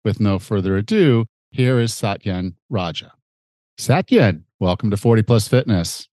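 A man's voice: lowish voice, lively intonation, a little slow, warm tone, no echo, studio quality. A middle-aged man with a slightly nasal, stuffed-up voice hosting a conversational podcast. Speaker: podcast host and fitness coach.

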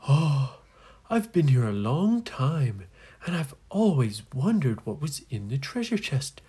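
A woman's voice: a bass voice, very animated, unhurried, dark tone, slightly rough, exaggerated voice. A woman voicing a lonely, mournful old ghost in a children's story, with sighs and exclamations. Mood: wistful. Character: mournful, lonely old island ghost, long without hands.

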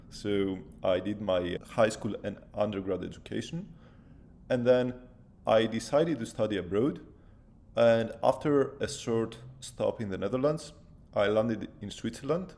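A man in his thirties speaks with a Greek accent and a low, gravelly voice full of fry. He answers as an interview guest, laying out his thoughts step by step with plenty of "so" and "like". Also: a little mellow. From Greece. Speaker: guest, postdoctoral researcher.

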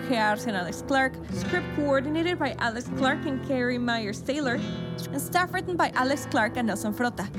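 A woman reading scripted credits as an announcer, with loud guitar music behind her. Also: sits high. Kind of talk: scripted narration. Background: loud plucked guitar music.

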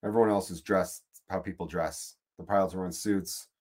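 A man with a Chicago accent rants through a comic podcast monologue, swearing freely and circling back on his own sentences. His voice sits a bit low, and he sounds fed up, loose and chatty. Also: steady tone, a little fast, husky. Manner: profane, exasperated, rambling. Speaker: podcast host. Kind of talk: comedic monologue rant.

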